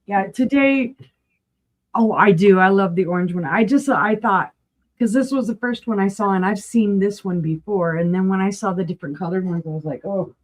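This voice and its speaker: A woman running a live auction sale, chatty and enthusiastic, with a voice that is going hoarse. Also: medium pitch, normal speed. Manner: chatty, enthusiastic, rambling asides. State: losing her voice, clearing throat.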